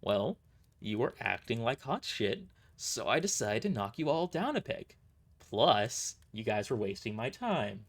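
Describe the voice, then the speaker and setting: A man's voice: mid-range voice, very animated, measured pace. A man voicing a cocky young woman mercenary leader, blunt and smugly mocking, in an audio drama. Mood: taunting. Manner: taunting, smug, blunt.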